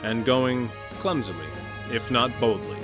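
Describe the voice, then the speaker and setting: Measured male narrator over music. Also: medium pitch. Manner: measured, announcer-like. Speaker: show narrator and player. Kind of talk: scripted show introduction. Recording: music under the voice.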